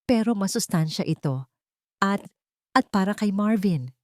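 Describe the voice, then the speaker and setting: Gentle elderly provincial grandmother for audio drama, played by a female narrator; humble, affectionate, hesitant, very dry recording. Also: mid-range voice, expressive, measured pace, dry recording, voice pushed up, lightly acted. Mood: hurt, meek.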